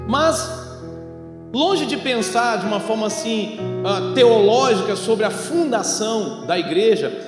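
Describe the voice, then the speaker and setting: Brazilian guy preaching in church, asks the congregation questions, big reverby hall, instrument playing behind. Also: sits high. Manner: didactic, asking rhetorical questions and addressing the congregation directly. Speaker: pastor, preacher. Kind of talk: evangelical church sermon with storytelling and Bible reading. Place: church hall, preaching over a sound system. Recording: echoey hall with long reverb, music behind.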